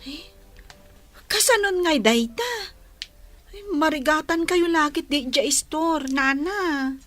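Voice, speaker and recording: An actress playing a young student, a loyal and plaintive friend, in a radio drama. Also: female voice, high-pitched, animated, slow pace, fairly bright tone, faint music behind, full character voice. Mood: worried. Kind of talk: Ilocano radio drama acting.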